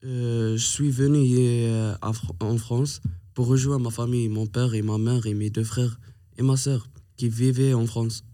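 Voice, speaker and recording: A man, a language student, answering interview questions in a halting, hesitant way. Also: medium pitch, fairly even intonation, dark tone.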